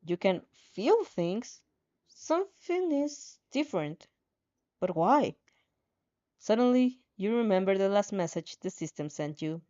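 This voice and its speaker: A woman reading a story aloud in an expressive, dramatic way, with playful casual asides and the odd stumble over a line. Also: medium pitch, a little slow. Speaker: fan fiction reader reacting as she reads. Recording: dull, slightly muffled lo-fi audio.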